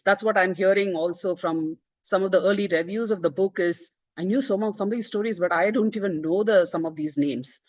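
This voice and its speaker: A woman's voice: medium pitch, no room sound. Earnest woman, dull dry sound. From India. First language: Tamil. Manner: earnest, with frequent "uh" and "you know" fillers and restarts. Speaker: book author presenting her book. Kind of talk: online book presentation talk.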